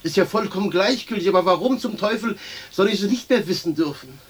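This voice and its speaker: An actor playing an earthy, hungry grumbler in a radio play, coarse and plain-spoken. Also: male voice, sits high, animated, brisk, husky. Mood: grumbling. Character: hungry, grumbling, earthy common man stuck on a branch. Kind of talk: German radio play acting.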